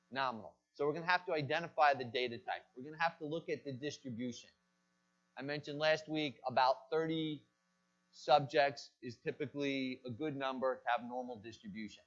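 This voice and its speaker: A man's voice: mid-range voice, a bit bright. A man teaching a pharmacy class, recorded in a classroom. He asks the students questions and then answers them himself. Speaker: pharmacy college lecturer. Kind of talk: classroom lecture. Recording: dull lo-fi lecture recording.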